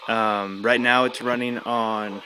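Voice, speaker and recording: A man giving a casual, unscripted gadget demonstration at home, talking in a halting, rambling way. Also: medium pitch, flat delivery, a crowd in the background. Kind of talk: casual unscripted product demo. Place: small room at home. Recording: clear background noise with clicks.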